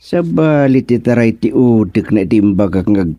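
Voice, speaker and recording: A man playing a lively, chatty village man in an Ilocano radio comedy. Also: on the low side, unhurried, dry recording. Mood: jovial. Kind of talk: Ilocano radio drama acting.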